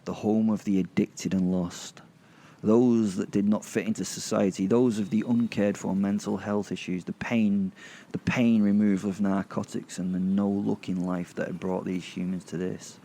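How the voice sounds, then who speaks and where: A man narrates a horror audio drama in character. His low voice is heavy with creak and dark in tone. He tells it sardonically, halting and repeating phrases for dramatic weight. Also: little pitch movement, slight background noise. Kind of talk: first-person dramatic storytelling in character.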